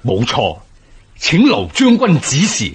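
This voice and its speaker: Casting: dutiful, worried officer in radio drama, husky, somewhat high, lively intonation. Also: male voice, takes it slowly, exaggerated voice. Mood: anxious, dutiful. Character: deferential, anxious military aide reporting to his general. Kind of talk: Cantonese radio historical drama acting.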